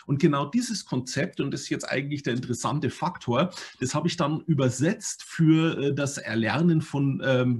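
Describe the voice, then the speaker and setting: A middle-aged man giving an animated online conference talk over a webcam, explaining with many fillers and tag questions. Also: medium pitch, slightly rough. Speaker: language learning speaker. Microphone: webcam on video call.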